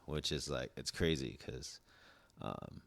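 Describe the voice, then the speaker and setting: A man in his forties with an extremely low, deep voice chats casually as a podcast guest. He rambles in a laid-back way, with lots of "like" and "dude". He grew up in Southern California after being born in Indonesia. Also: expressive, slow pace, creaky, fairly bright tone. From born in Indonesia, raised in Southern California. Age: 40s. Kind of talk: casual chat.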